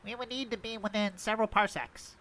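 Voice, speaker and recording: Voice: medium pitch, fairly even intonation, brisk, bright, forceful tone, a little hiss or hum, lightly acted. An actor playing a precise, literal-minded droid co-pilot in a script table read, with formal, technical phrasing. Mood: matter-of-fact.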